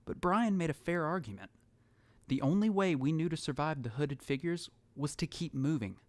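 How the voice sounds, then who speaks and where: A man's voice: fairly high pitch, animated, moderate pace, no room sound, natural voice. A man playing a lonely, haunted survivor, narrating his story in a somber, reflective way for an audio drama. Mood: thoughtful. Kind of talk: scripted first-person audio drama narration. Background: music.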